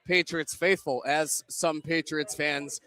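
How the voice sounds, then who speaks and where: A man's voice: a little high, steady tone, takes it slowly, pushed and bright. A young man co-hosting a sports pre-game show from a pizza pub, rambling with self-deprecating banter. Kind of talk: casual sports talk.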